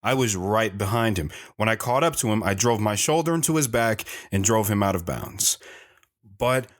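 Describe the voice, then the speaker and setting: A man playing a radio show guest who tells his story in a calm, matter-of-fact way. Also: fairly low pitch, moderate pace, natural voice. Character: plainspoken former high-school athlete.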